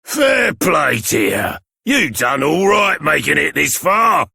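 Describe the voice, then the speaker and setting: Cheeky game bandit, Cockney, raspy, bright and forceful, slow-paced, roguish. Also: male voice, on the high side, very animated, no room sound, clean studio sound, heavily acted. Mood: taunting. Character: cheeky roguish bandit boss, slippery and pleading when cornered. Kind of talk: video game character acting.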